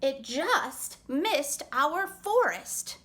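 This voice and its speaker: Woman voicing a polite, high-pitched storybook otter. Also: sing-song, drawn-out words, fairly bright tone, full character voice. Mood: polite, chummy. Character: polite pair of chummy otter friends. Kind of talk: picture book read-aloud.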